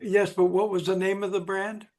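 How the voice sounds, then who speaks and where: A man with a notably high voice puts questions to others. He is speaking on a group call. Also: flat delivery, moderate pace, darkish tone.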